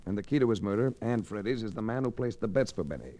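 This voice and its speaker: Actor as low-voiced hard-boiled American private investigator. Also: male voice, animated, fast talker, mellow, natural voice. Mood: brisk, probing. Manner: clipped, hard-boiled, dry. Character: tough, wry male private investigator, cool and sardonic. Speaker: lead actor and first-person narrator. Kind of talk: 1940s-50s radio detective acting. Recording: noisy vintage radio recording.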